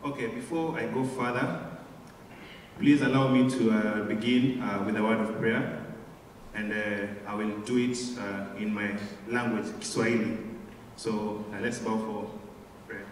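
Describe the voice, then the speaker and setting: A young Kenyan man in his twenties tells his life story to an audience, pausing often on fillers as he talks. He is picked up from a distance, and his voice rings with a long reverb through a big, echoing hall. A faint murmur of room sound sits underneath. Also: lowish voice, steady tone, slight background noise. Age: 20s. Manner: hesitant, frequent filler pauses. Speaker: guest speaker. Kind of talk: personal testimony speech. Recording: echoey hall from far off.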